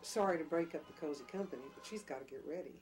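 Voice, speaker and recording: A breezy, worldly dance-hall owner played by an actress in an audio drama. Her voice sits fairly low, and she coaxes in casual, clipped phrases over faint music. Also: racing, a little mellow, lightly acted. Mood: dry, businesslike. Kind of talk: dramatic dialogue in an audio play or table reading.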